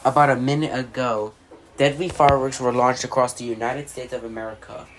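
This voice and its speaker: An amateur announcer reads mock weather and emergency alerts in a mid-pitched voice. The delivery is halting, with stumbles and repeated phrases. There is some faint noise behind the voice. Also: fairly even intonation, rough recording.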